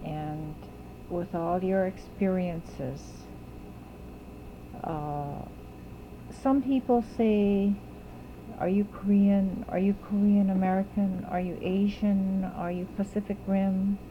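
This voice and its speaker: A woman interviewer asking deliberate, formally worded questions in an oral history interview. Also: medium pitch, steady tone, dull audio, a little hiss or hum.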